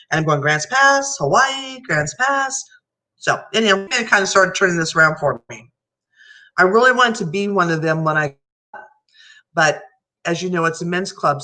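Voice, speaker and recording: A middle-aged American woman speaks as a candidate at a public forum, telling her own story in a chatty way with lively asides. Part of it she reads aloud. Her voice is bright and forward. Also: fairly low pitch. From United States. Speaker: mayoral candidate. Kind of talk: candidate forum speech, partly read.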